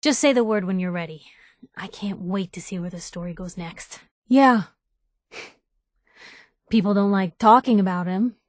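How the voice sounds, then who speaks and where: A woman's voice: mid-range voice, normal speed, pauses often, dry recording, clean studio sound, close to an everyday voice. A woman voicing a sardonic, outspoken young newspaper reporter companion in a video game, in a wry conversational way. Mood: wry, friendly, then earnest, wry.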